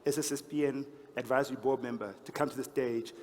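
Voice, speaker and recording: A man's voice: medium pitch, fairly even intonation, quick pace. A man with a lisp hosting an awards ceremony in a playful, teasing, informal style. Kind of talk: informal, joking stage hosting. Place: large event hall, on stage. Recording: echoey hall, noticeable background noise.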